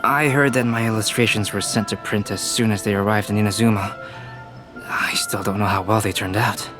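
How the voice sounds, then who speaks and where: A man voicing a calm, polite, scholarly young artist in a video game, measured, composed and precise. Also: fairly low pitch, close to an everyday voice. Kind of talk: video game character acting. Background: background music.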